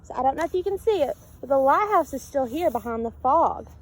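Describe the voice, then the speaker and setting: High-pitched woman reading a picture book aloud. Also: fairly quick, rough recording. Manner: expressive, sing-song on repeated calls, questioning listeners. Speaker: storybook reader. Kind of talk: read-aloud narration of a picture book with asides to children. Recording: steady background noise.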